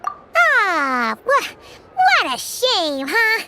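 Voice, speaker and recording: A woman voicing a small, excitable, boastful fairy companion in a video game, with music behind the voice. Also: very high-pitched, extremely slow, heavy background noise, exaggerated voice. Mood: boastful, disappointed. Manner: refers to self in third person, exclamatory.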